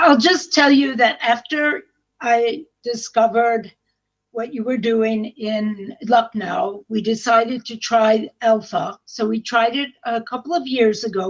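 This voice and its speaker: A woman's voice: a little high, steady tone, slow, poor audio. A woman giving an earnest, enthusiastic conference presentation about her adult literacy program, restarting phrases often.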